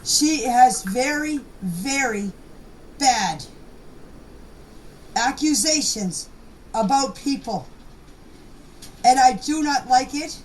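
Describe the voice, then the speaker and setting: A woman's voice: high voice, animated, takes it slowly, pauses often. A woman giving an unscripted personal statement in voice messages, plainspoken and emphatic, repeating phrases for stress. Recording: noisy voice message, distant from mic.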